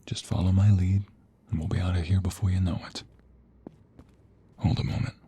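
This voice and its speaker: A man playing a tender, protective mobster fiancé in an ASMR roleplay, hushed and intimate, reassuring the listener in a breathy murmur. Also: deep voice, little pitch movement, moderate pace, slight background noise, natural voice. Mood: hushed, focused. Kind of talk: ASMR roleplay audio drama.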